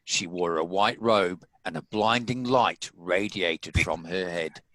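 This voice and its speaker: A man's voice: deep voice, slow, a bit bright, dry recording, rough recording. A man reading scripted story narration as the narrator of a table read.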